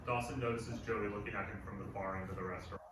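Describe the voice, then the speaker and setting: Low-voiced male narrator, very echoey. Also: steady tone, very fast. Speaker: narrator reading stage directions. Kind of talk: scripted narration at a live table read. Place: live performance venue. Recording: very echoey, distant, noisy live recording.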